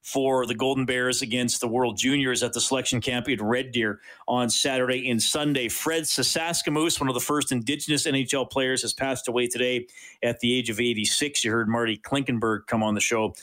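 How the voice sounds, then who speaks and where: A man's voice: mid-range voice, steady tone, fairly quick, pushed and bright, rough recording. A man hosting a sports radio show, friendly and chatty with frequent restarts.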